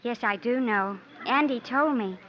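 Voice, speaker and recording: A woman acting as a tender young heroine in a 1950s radio romance, with a high voice. She is earnest and a little hesitant. The old recording is muffled and slightly noisy. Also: unhurried, close to an everyday voice.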